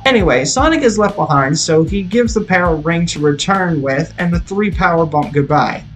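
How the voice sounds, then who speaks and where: A man reading a scripted movie review as a video reviewer, in a measured, emphatic, articulate way. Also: fairly high pitch, expressive, fairly bright tone. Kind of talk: scripted film review narration. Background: rock guitar music.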